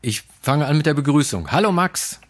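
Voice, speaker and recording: A man's voice: on the high side, lively intonation, a little fast, a little hiss or hum. A man hosting a radio show, doing a light interview in a playful, bantering way.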